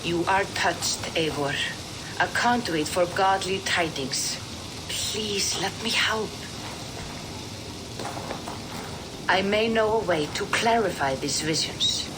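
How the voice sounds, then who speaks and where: A woman playing a wise, serene Norse seeress in a video game, speaking in measured, poetic, gracious phrases. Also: lowish voice, noisy background, close to an everyday voice. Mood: gentle, probing. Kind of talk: dramatic video game acting.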